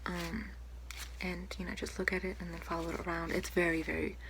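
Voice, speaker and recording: A young woman speaking softly and often whispering in an ASMR doctor roleplay, hesitant, unhurried and polite. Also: on the low side, level tone. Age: young adult. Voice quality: soft, breathy, often whispering. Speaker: ASMR creator playing a doctor. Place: small room at home, indoors. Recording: noticeable background hiss, home recording.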